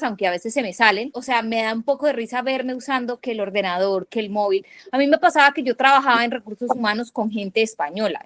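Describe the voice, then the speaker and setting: Chatty woman co-host, slightly high voice. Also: no room sound. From Latin America. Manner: chatty, many fillers like "o sea", quick asides. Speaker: podcast co-host. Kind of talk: casual chat.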